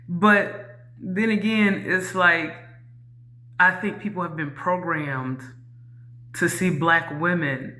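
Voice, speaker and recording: Woman with a very low, sharp voice. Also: fairly even intonation, slow, long pauses. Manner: candid and reflective, with many "um"s and "you know"s. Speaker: YouTuber. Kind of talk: casual talk to camera.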